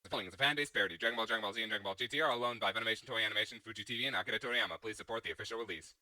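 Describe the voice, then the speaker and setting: An actor playing a brisk announcer, reading a scripted disclaimer formally. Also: male voice, lowish voice, bright, forceful tone, dry recording.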